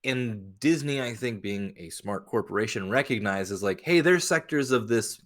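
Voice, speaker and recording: A man's voice: fairly low pitch, expressive, normal speed, a bit bright. A man co-hosting a podcast in casual chat, emphatic and sweary, often acting out imagined quotes. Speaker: podcast host.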